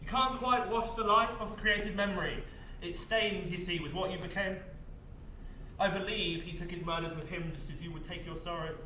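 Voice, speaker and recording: A man acting a weary, philosophical afterlife official on stage, speaking in measured, cryptic lines. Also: sits high, bright, forceful tone. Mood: solemn. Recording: echoey stage, distant mic, faint noise.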